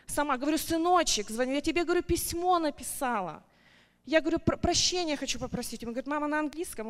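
A woman in her thirties shares a heartfelt church testimony, her fairly high voice climbing higher whenever her feelings run strong. The long reverb of a big meeting hall trails behind every phrase. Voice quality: animated and heartfelt, pitch rising high when excited. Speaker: church member giving testimony. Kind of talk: emotional personal testimony.

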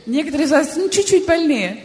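A woman's voice: high voice, animated, brisk, a little mellow. A woman interpreting a sermon phrase by phrase, following the preacher closely. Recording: long hall reverb, faint noise.

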